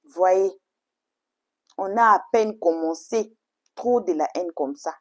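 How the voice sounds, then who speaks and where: A woman's voice: on the low side, takes it slowly. A woman whose first language is English, chatting to viewers as a channel host in an emphatic, repetitive way. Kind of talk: casual, chatty introduction to story videos.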